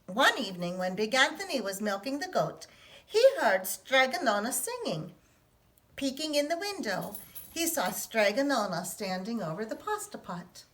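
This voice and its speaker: Bright-voiced woman reading a picture book. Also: fairly high pitch, normal speed, poor audio. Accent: put-on Italian. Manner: lively, expressive storytelling.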